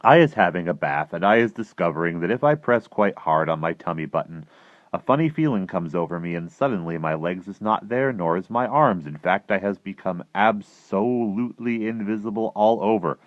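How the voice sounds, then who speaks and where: A man voicing an excitable, boastful young boy breathlessly telling storybook dreams in mangled grammar. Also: sits low, normal speed, no echo, lo-fi sound. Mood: gleeful, boastful.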